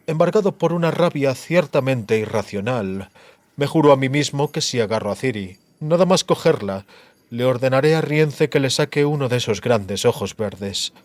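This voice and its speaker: A man voicing a cruel, disfigured sorcerer in a measured, theatrical monologue, coldly gloating and menacing. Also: medium pitch, expressive, darkish tone, no room sound, lightly acted. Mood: cold, resentful.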